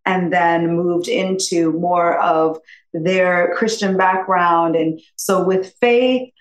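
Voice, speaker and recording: A woman's voice: lowish voice, steady tone, slow pace, roomy sound, rough recording. A woman in her fifties from upstate New York, a podcast guest chatting in a rambling, effusive way and laughing often. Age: 50s. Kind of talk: casual interview chat.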